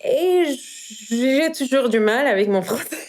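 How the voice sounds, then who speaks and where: A woman in her thirties from Iran with a Persian accent, a podcast guest speaking French as a second language, often pausing and searching for words. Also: on the high side, lively intonation. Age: 30s. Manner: hesitant, searching for words, many fillers. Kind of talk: casual interview.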